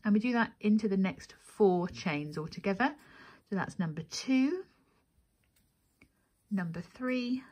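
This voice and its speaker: A woman's voice: mid-range voice, darkish tone. A woman narrating a step-by-step craft tutorial as the instructor, methodical and counting steps aloud.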